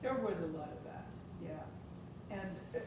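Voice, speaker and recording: A woman's voice: on the low side, expressive, a little slow. Woman on a panel, very echoey room. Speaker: author on a panel. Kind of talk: informal panel talk to an audience. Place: public room at a library event. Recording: echoey noisy room, far from mic.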